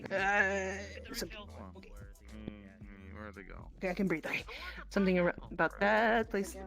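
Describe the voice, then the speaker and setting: A woman talking in an improvised roleplay, halting and flustered as she searches for words. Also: medium pitch, steady tone, creaky, over music. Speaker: player in an online roleplay. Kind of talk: improvised in-character conversation.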